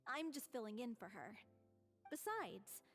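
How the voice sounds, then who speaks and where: A woman's voice: a little high, very animated, a little slow, a bit bright, soft background music. A woman voicing a composed, selfless young troupe performer in a video game, speaking earnestly. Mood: grateful, earnest. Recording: reverberant hall sound.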